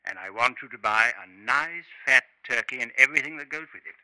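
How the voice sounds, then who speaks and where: Genteel, sly, sharp-voiced professor. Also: male voice, mid-range voice, lively intonation, a little fast. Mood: ingratiating. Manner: polite, coy, smooth-talking. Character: genteel, secretive male professor, slyly ingratiating murderer. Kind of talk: 1950s radio drama acting. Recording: noisy old 1950s radio recording.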